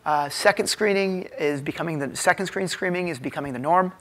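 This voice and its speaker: A man's voice: fairly high pitch, fairly bright tone. An American man in his thirties giving an informal conference keynote with frequent hesitations. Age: 30s. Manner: halting, filler-heavy, informal delivery. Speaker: keynote speaker, tech executive. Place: hotel conference ballroom stage, indoors. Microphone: lapel mic.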